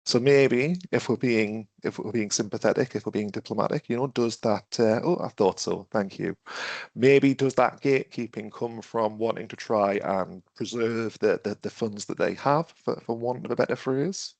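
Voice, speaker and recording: Male trainer, gravelly, a bit of a cough, dry close sound, chatty and questioning on a video call. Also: on the low side, moderate pace, darkish tone, dry recording. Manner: conversational, thinks aloud with restarts, asks the audience questions. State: has a cough and is losing his voice. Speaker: webinar trainer. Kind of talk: interactive online training talk.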